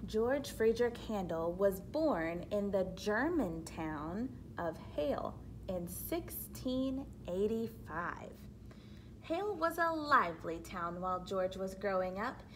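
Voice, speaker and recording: Slow, lively woman reading to children. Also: mid-range voice, a little hiss or hum, low-quality recording. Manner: simple teacherly explanations, expressive reading. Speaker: reader of a children's book. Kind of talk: read-aloud storytelling with explanatory asides for children.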